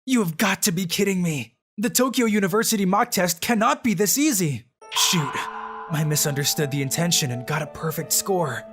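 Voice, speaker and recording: A man's voice: high-pitched, moderate pace, over music. A man voicing a dry, secretly genius male student narrating his thoughts in a manga dub. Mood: deadpan. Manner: deadpan inner monologue.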